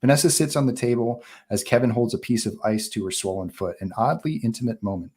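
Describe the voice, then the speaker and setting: A man's voice: mid-range voice, quick pace, a little mellow, breathy edge. A man narrating stage directions from a film script at a live table read.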